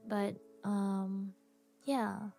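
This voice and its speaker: A tired young woman hosting her own podcast, talking slowly and softly with long pauses and small laughs. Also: medium pitch, flat delivery, vocal fry. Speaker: podcast host and streamer. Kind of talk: unscripted reflective podcast talk. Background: soft background music.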